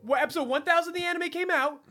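A woman's voice: extremely high pitch, rapid-fire, pushed and bright, soft background music. A woman in casual podcast chat about films, speaking emphatically, repeating points and swearing often. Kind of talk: casual film review chat.

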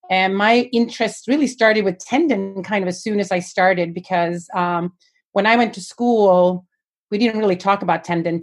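A woman in her fifties from Sweden, a physical therapy professor, talking in a chatty, self-deprecating way with frequent ums. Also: lowish voice. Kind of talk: panel podcast discussion.

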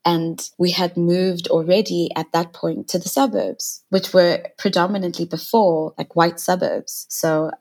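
A young woman from South Africa, a native Sesotho speaker, talks in a thoughtful interview. Her voice sits a little low with a gravelly edge, and she often pauses to search for the right words. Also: steady tone, darkish tone. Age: 20s. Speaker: poet, interview guest. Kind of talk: reflective interview answers.

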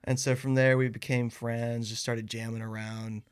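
A man in his early twenties, a band's singer, telling a story casually as a podcast guest, relaxed with lots of "like"s. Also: medium pitch, normal speed, clean tone. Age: 20s. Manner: relaxed, filler-heavy, many "like"s.

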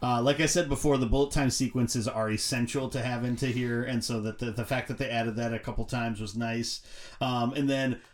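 A man's voice: medium pitch, fairly even intonation, fairly quick. A man co-hosting a podcast, explaining things casually and hedging as he goes. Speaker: podcast co-host. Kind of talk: casual movie discussion.

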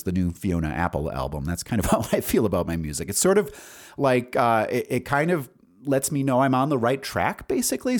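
A man in his forties hosting a casual solo podcast, rambling and candid with some salty language. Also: lowish voice. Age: 40s. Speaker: podcast host and songwriter.

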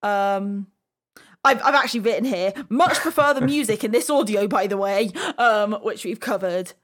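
A woman's voice: mid-range voice, moderate pace. A woman hosting a podcast, talking casually through a discussion. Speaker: podcast host.

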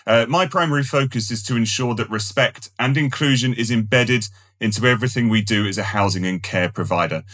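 Podcast host guy, middle pitch, calm and polite, says uh a lot. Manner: measured, polite, with uh fillers. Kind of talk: podcast hosting, scripted intro then casual chat.

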